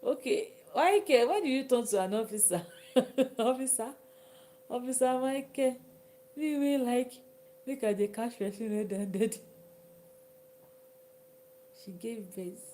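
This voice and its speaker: A Nigerian woman whose native language is Yoruba, chatting casually with viewers on a live stream, sometimes dropping to a soft whisper. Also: a little high, animated, takes it slowly, pauses often, slight background noise. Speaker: livestream host. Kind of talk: casual live chat reading comments.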